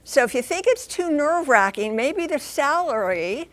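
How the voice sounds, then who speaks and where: A mature American woman correspondent explaining things on live TV news in an animated, folksy, emphatic way. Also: high-pitched, normal speed. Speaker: transportation correspondent.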